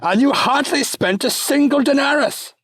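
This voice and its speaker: A man voicing a giddy, childish Roman emperor, shrill and gleeful. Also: moderate pace, fairly bright tone, no echo.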